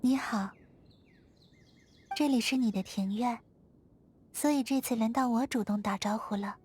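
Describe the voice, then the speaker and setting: A woman playing a quiet, aloof, dreamy young moon maiden in a video game, talking in a gentle, detached, unhurried manner. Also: on the high side, slightly rough. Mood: calm, detached. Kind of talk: scripted video game character dialogue. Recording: studio voice over background music.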